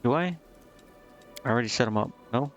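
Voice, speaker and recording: A man's voice: medium pitch, animated, fairly quick. A man giving casual gameplay commentary, indecisive and muttering to himself as he streams. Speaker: game streamer. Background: game music.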